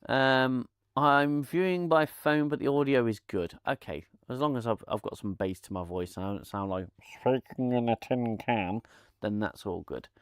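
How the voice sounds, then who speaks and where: A man's voice: mid-range voice, moderate pace, clean tone, dry recording, clean studio sound. A man hosting a casual live-stream chat, rambling and laughing with many restarts, on a lavalier mic at home. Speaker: channel host.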